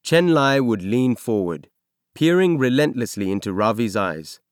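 A man reading a science fiction novel aloud as scripted narration, in measured, expressive storytelling. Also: mid-range voice, clean tone, no echo, clean studio sound. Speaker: audiobook narrator.

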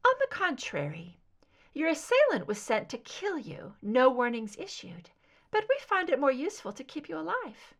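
A shadowy, composed female informant performed by a woman narrator speaks in a very high, delicate soprano. Her lines are soft and clear, lilting up and down with cryptic, unhurried deliberation, in the manner of a thriller audiobook. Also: huge pitch swings, exaggerated voice. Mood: calm, unmoved.

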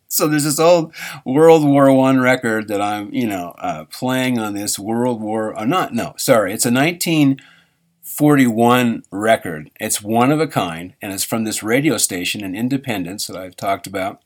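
A man hosting a live radio show, talking in a loose, halting way, correcting himself and chuckling between thoughts. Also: fairly low pitch. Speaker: radio host. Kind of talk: rambling live radio chat.

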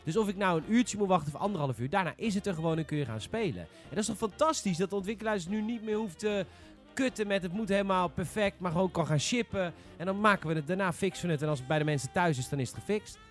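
A man's voice: sits high, expressive, fast talker, few pauses, soft background music. A man with a Netherlandic Dutch accent hosting a casual podcast, arguing his opinions informally with some swearing. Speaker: podcast host.

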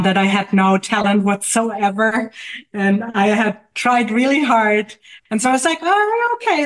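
A middle-aged woman from Germany with a German accent, talking casually about herself as a guest, with frequent hesitations. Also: fairly high pitch. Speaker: guest artist and teacher. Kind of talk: casual autobiographical interview talk.

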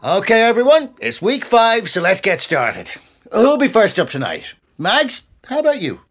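A man's voice: sits high, wildly swooping pitch, moderate pace, fairly bright tone, exaggerated voice. A man playing a charismatic, pushy poetry teacher in a radio drama, coaxing and theatrical. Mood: encouraging. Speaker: actor. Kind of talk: naturalistic radio drama acting.

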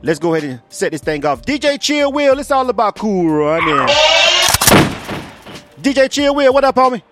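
A man from Florida hosting a casual radio interview, energetic and slangy, laughing often. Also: very high-pitched, normal speed, loud music behind the voice. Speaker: radio host.

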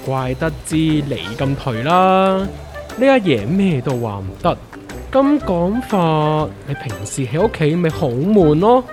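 Woman doing a deep voiced hong kong cantonese teasing buddy, very swingy and mock serious, radio skit with music. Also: sing-song, slow pace, heavily acted. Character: blunt, teasing co-worker friend with playful exaggeration. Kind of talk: comedic audio drama acting.

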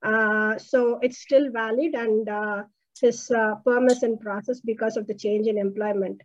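Female caller from India, hesitant and wordy, higher voice, dull phone line. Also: fairly even intonation, a little slow. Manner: hesitant, many uh fillers, apologetic.

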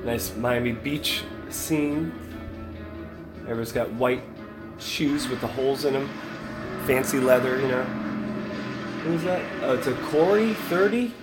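A man's voice: mid-range voice, takes it slowly, long pauses, loud music behind the voice, poor audio. A man hosting a podcast, casually commenting on a TV episode in a rambling, hesitant way. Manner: rambling, hesitant, many fillers. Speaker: podcast host reacting to a TV show.

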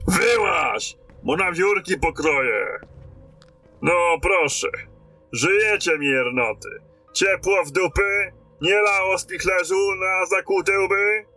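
A man playing a gruff, commanding and foul-mouthed knight lieutenant in a fantasy radio drama. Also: high-pitched, slow, sharp tone, no room sound, faint music behind, exaggerated voice. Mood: furious, scornful. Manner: brusque, commanding.

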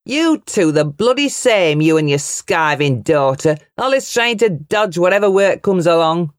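A woman voicing an angry, bullying middle-aged farm uncle in a storybook, booming and coarse. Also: lively intonation, measured pace, no echo, studio quality. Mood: sneering, angry. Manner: coarse, shouting and sneering.

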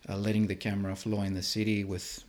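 A man from Greece with a fairly low-pitched voice explains his work as an interview guest. His delivery is hesitant, with halting phrases and words that he starts again. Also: flat delivery, a little mellow. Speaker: interviewed artist and filmmaker.

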